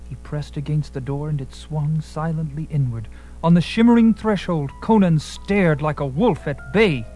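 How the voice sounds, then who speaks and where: High-pitched male dramatic narrator over music. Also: mellow, low-quality recording. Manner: theatrical, suspenseful, emphatic. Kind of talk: dramatic scripted narration of fantasy adventure.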